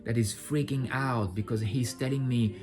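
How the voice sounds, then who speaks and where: A man speaking off the cuff to his viewers, blunt and full of swearing, whose talk swells into impassioned outbursts. His voice sits at an ordinary middle pitch, with faint music playing underneath. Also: fairly even intonation, normal speed. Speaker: vlogger sharing personal insights. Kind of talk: unscripted personal monologue.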